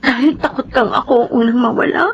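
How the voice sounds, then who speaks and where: A woman's voice: fairly high pitch, fast talker, lo-fi sound, slight background noise, lightly acted. An actress playing a grief-stricken young woman in a Tagalog radio drama, emotional and fierce, refusing to accept a loss. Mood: tearful grief. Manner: emotional, tearful, pleading and accusing. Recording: dramatic music bed behind.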